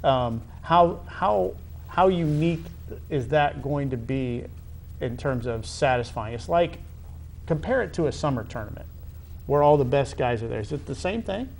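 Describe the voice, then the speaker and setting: Halting American man interviewing, noisy background. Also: medium pitch, expressive, creaky, darkish tone. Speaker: interviewer, high school athletic director. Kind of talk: conversational sports interview.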